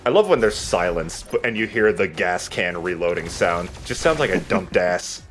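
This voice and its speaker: A man's voice: deep voice, expressive, normal speed, fairly bright tone, soft background music. A man chatting casually as he commentates on his gameplay, in an informal, self-deprecating way. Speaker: let's player playing and commentating. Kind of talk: casual gaming commentary chat.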